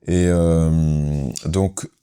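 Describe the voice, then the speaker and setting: A man in his thirties from Belgium, with a Belgian French accent, telling his story in an interview, thoughtful and hesitant, often pausing and restarting. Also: a bass voice, fairly even intonation, dark tone, clean tone. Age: 30s. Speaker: carpenter, interview subject. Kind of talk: casual interview talk.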